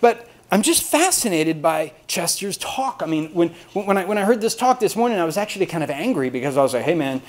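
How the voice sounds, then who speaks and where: A man's voice: sits high, big pitch swings, fairly quick, few pauses. An American man from Texas giving an informal, humorous lecture to colleagues, rambling and joking at his own expense. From Austin, Texas, United States. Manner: self-deprecating, rambling, jokey storytelling. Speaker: university lecturer giving a talk.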